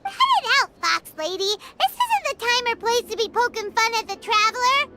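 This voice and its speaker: A woman voicing a tiny, excitable fairy girl companion with a squeaky, childlike voice in an anime game. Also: normal speed, exaggerated voice. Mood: indignant. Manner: excitable, exclamatory. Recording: music behind the voice.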